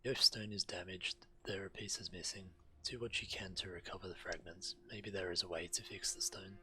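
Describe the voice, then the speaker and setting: A man's voice: low voice, fairly even intonation, moderate pace, pushed and bright. A man streaming a game, reading quest text aloud and adding casual commentary. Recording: game music behind the voice. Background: game music.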